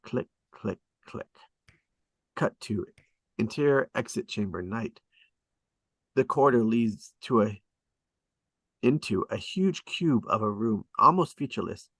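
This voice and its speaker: A man narrating screenplay stage directions at a table read in a measured, descriptive way, with short dramatic phrases. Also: lowish voice, expressive, breathy edge, no room sound.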